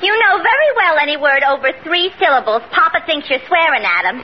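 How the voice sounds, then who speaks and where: A noisy, muffled old radio recording carries the boss's bossy grown daughter. Her voice is high and bouncy, with a scolding, emphatic delivery in 1950s sitcom style. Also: sing-song, quick pace, crowd noise. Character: bar owner's bossy, plain-spoken grown daughter.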